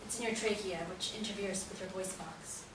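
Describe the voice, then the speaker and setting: A woman's voice: medium pitch, fairly even intonation, a little fast, close to an everyday voice. An actress in a play reading playing a loving, worried mother, tender and reassuring at her son's bedside. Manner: tender, reassuring, simple explanatory phrases. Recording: echoey room, distant mic, noisy.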